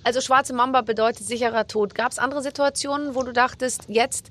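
A woman's voice: on the high side, steady tone, fairly quick, fairly bright tone. A woman hosting a casual interview, thinking aloud in winding, colloquial questions. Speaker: interviewer, host.

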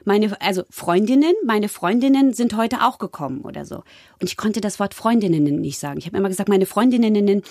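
A Swedish-raised woman with a gravelly edge to her voice talks in a casual interview, telling lively anecdotes and slipping into mimicry. The sound is close and dry, with no room echo. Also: medium pitch, brisk. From Sweden. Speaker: voice actress, guest.

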